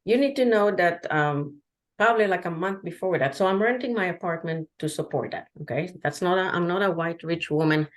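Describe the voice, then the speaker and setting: A woman with a deep, low voice whose first language is Hebrew talks as a guest on a podcast. She tells her story candidly and haltingly, with frequent restarts and fillers.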